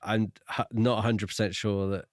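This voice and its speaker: A man's voice: on the low side, level tone, moderate pace, no echo, clean studio sound. A man co-hosting a podcast, casually musing over a book in a tentative, conversational way. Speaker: podcast co-host.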